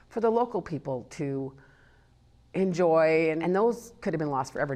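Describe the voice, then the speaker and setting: A woman's voice: low-pitched, darkish tone. A woman talking unscripted as an interviewee in a documentary.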